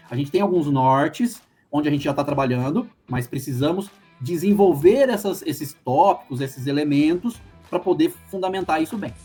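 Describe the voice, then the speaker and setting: A Brazilian man, a lawyer guest, explaining in measured, halting sentences with many restarts. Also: a little high, darkish tone, husky. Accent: Brazilian Portuguese. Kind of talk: casual discussion.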